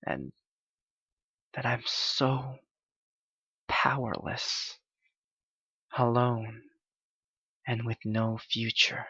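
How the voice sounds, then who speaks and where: A man playing a clingy, heartbroken young yandere lover in an intimate ASMR roleplay, halting and pleading in his anguish. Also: medium pitch, level tone, vocal fry, bright, forceful tone, husky, no echo, muffled recording, full character voice. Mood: wounded, pleading.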